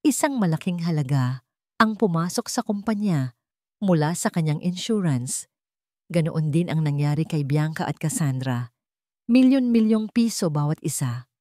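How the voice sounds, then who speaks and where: A woman's voice: low voice, darkish tone, dry recording, studio quality. A woman voicing an assured, intent hacker explaining findings in a dramatic audio story.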